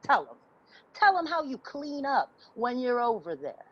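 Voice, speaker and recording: A woman's voice: sits high, sing-song, a little slow, breathy edge, lo-fi sound, full character voice. A woman playing a defiant, needling homeless woman in a dramatic play reading, confrontational and sardonic.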